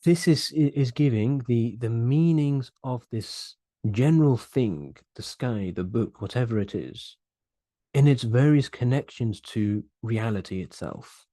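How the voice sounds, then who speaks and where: Earnest young man teaching, mellow dry voice. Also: mid-range voice, a little slow, no room sound. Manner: earnest, explanatory, repeats words while thinking. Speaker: Arabic grammar teacher. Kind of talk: online whiteboard lesson.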